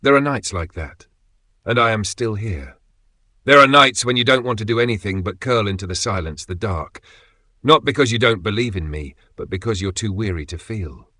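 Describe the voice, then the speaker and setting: A man reading a scripted devotional message in the voice of God, gentle and tender, often sinking to a near whisper. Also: lowish voice, expressive, moderate pace, no room sound.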